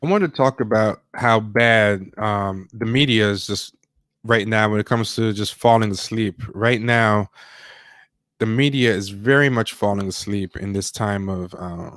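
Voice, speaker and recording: Low-voiced man chatting on a livestream. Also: a bit bright, dry recording. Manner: rambling, halting, self-interrupting. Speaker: livestream host. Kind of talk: casual chat, news commentary.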